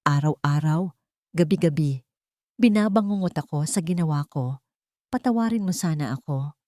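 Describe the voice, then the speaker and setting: A woman's voice: deep voice, lively intonation, moderate pace, mellow, no room sound, clean studio sound. A Filipino woman voicing a regretful, cowardly man who pleads contritely in a dramatic story. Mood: contrite pleading. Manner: beseeching, contrite. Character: regretful, cowardly adult son and ex-partner, pleading.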